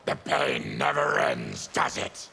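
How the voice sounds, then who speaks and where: An actor playing a fierce, proud, embittered mutant warrior in a fighting game, growling and deliberate. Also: male voice, rumbling low, pushed and bright, a little hiss or hum, exaggerated voice. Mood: grim, weary. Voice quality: gravelly, growling. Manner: menacing, deliberate. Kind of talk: fighting game character dialogue.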